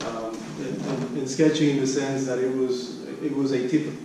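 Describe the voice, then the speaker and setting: A man presenting research at an academic conference, speaking haltingly and often repeating and restarting his phrases. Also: medium pitch, fairly even intonation. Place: conference room. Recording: echoey room, distant mic, background noise.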